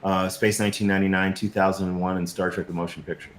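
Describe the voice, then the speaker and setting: Echoey, low-voiced man chatting effusively. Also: level tone, fast. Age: middle-aged. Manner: effusive, emphatic, stammering and repeating words. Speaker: guest, science fiction creator and designer. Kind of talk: casual interview chat. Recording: roomy echo, noticeable background noise.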